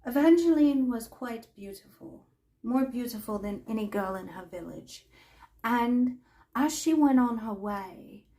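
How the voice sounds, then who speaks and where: A woman playing an imperious, mischievous older magical storyteller, telling fantasy tales in a theatrical, haughty way with deliberate pauses. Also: on the high side, lively intonation, mellow. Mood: wistful, storytelling. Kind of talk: in-character fantasy storytelling roleplay.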